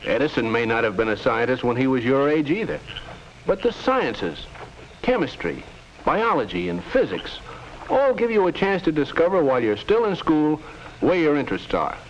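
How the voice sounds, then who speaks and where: A man's voice: medium pitch, very animated, moderate pace, lightly acted. A man playing an earnest, patient middle-aged American father advising his teenage children in a 1950s educational film. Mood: encouraging. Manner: earnest, instructive. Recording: muffled, hissy 1950s film soundtrack.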